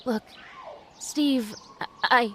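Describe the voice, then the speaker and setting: Woman playing a careful, proper young mom in old radio drama, highish voice, hesitant, faint noise behind. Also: slow pace, pushed and bright. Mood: earnest, uneasy. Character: gentle, guarded young widowed mother, proper and hesitant.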